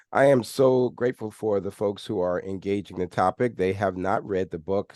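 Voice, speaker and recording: A man's voice: deep voice, darkish tone, dry recording, clean studio sound. A middle-aged man, a former pastor and New Testament professor, giving brief earnest opening remarks.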